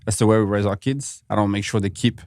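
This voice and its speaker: Low-voiced French-native man, earnest guest. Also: steady tone, darkish tone, no echo, studio quality. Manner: earnest, with halting non-native phrasing. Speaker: pastry chef guest. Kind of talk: podcast interview.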